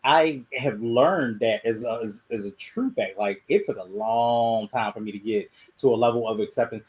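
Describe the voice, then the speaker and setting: A man's voice: mid-range voice, moderate pace, a little mellow, husky, low-quality recording. A man with a Baltimore accent hosting a podcast, talking in a casual, rambling way and often correcting himself. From Baltimore County. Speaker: podcast host.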